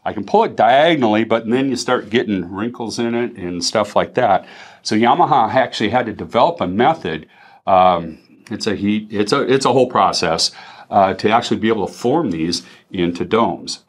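Low-pitched middle-aged man explaining. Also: lively intonation, normal speed. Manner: explanatory, conversational. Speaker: company representative, product presenter. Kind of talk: informal product explanation. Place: small indoor demo room.

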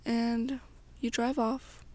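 A young woman softly narrating a second-person story in an amateur ASMR style. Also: fairly high pitch, little pitch movement, very slow.

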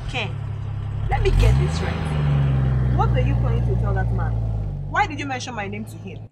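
An actress playing an indignant young student with a Nigerian accent, sharp-tongued and confrontational in a radio drama. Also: female voice, low-pitched, wildly swooping pitch, moderate pace, heavy background noise. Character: feisty, indignant young female student standing her ground.

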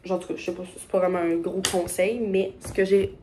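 A woman's voice: fairly low pitch, flat delivery, a little fast, mellow, slight background noise. A young woman with a Québécois French accent chatting casually in an upbeat, rambling way for her vlog, filmed on a phone held at arm's length. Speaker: vlogger. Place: bedroom and car.

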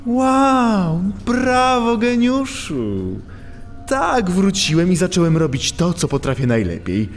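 A man's voice: high voice, huge pitch swings, slow pace, heavily acted. An actor playing a smug, mocking animal thief and gang boss in a children's audio drama, savoring his words theatrically. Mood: smug, taunting. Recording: long cavernous reverb, music behind.

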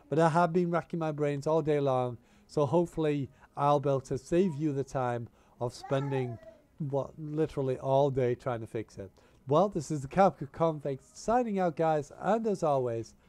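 A man talking through a tech setup with a cold in his voice, his tone slightly high for a man yet dark and mellow. He keeps it chatty and plain, stringing together "you wanna" steps. Headset mic, recorded at home. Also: dry recording. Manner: repeats "you wanna" instructions, informal and chatty. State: has a cold and a tickly cough. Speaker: channel host giving a tech tutorial. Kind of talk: casual step-by-step screen-recording tutorial. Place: home room, indoors. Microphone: gaming headset mic.

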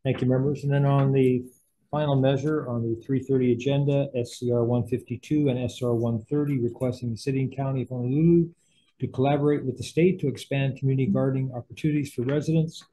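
A man serving as vice chair, reading measures and calling the roll briskly into a desk microphone in a hearing room. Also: medium pitch, steady tone, warm tone, slight background noise, poor audio. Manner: brisk, procedural. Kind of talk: formal roll call.

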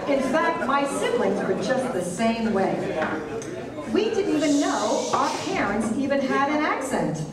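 A middle-aged woman doing a brassy, animated stand-up set on a comedy club stage, swooping between high and low for effect. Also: unhurried. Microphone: handheld mic, recorded by far camera. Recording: roomy club sound from far off, crowd laughter. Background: audience laughter.

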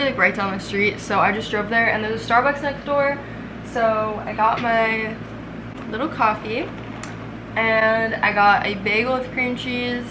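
A woman's voice: fairly high pitch, distant mic, noisy background. Chatty young woman vlogging her makeup. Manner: chatty, relaxed, lots of "like" and "um". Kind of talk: casual makeup vlog chat. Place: small bedroom with bathroom doorway, indoors. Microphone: camera set up at arm's length.

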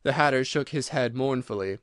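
A man's voice: medium pitch, brisk, a bit bright, no echo, studio quality. A man reading a scripted story as an audiobook narrator.

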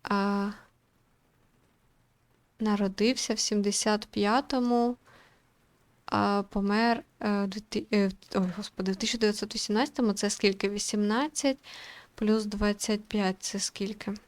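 A woman's voice: medium pitch, steady tone, normal speed, long pauses. A young adult woman giving casual let's-play commentary as a gaming host, thinking aloud in an informal, hesitant way.

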